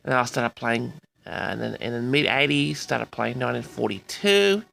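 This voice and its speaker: A man in his sixties from Australia hosting a personal audio log, reminiscing in a rambling, halting and boastful way straight to his listeners. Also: mid-range voice, vocal fry, a bit bright. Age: 60s. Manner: boastful, halting, often addresses the audience.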